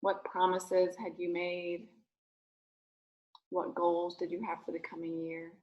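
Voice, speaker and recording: Slow-paced woman guiding a meditation. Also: fairly low pitch, level tone, lo-fi sound. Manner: soothing, gentle instructions with long pauses between short phrases. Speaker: rabbi leading a guided meditation. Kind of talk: guided meditation.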